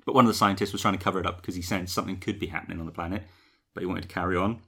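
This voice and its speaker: A man's voice: deep voice, fairly even intonation, very fast, creaky, pushed and bright, slightly rough. A young man co-hosting a podcast, recapping a TV episode in a chatty, rambling way. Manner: chatty, rambling with fillers and laughs. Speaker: podcast co-host. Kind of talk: casual chat recapping a TV episode.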